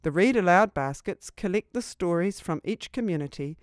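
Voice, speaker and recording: A woman's voice: lowish voice, animated, moderate pace, no echo, clean studio sound. A woman reading a scripted instructional narration for a training video.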